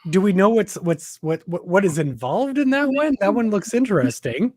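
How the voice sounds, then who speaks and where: High-voiced giggly male co-host. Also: big pitch swings, moderate pace, darkish tone, dry recording. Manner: giggly, stumbling restarts. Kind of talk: casual live-stream chat.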